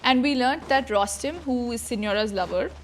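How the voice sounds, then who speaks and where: A woman's voice: on the high side, normal speed, slight background noise. A woman streamer giving casual, chatty livestream commentary on a game, informal in her wording.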